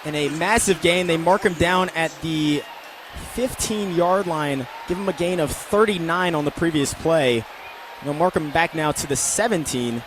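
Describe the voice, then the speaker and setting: American guy doing live football radio play-by-play, highish voice, clipped phrasing, loud stadium noise behind him. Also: normal speed, crowd noise. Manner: clipped, descriptive announcer phrasing.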